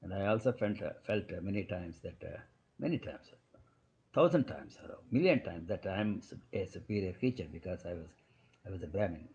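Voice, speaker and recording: Older indian doctor rambling through his story, lowish voice, muffled lo-fi sound. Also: lively intonation, mellow. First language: Kannada. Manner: halting, digressive, many restarts. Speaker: medical doctor guest. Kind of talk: casual life-story telling. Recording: dull lo-fi recording.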